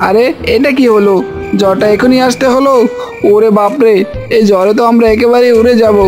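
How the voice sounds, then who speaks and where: A man's voice: very high-pitched, fairly even intonation, fairly quick, over music. A man voicing a scheming, self-pitying rival eatery owner in a cartoon fairy tale, with a melodramatic manner. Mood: alarmed. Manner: wailing, melodramatic.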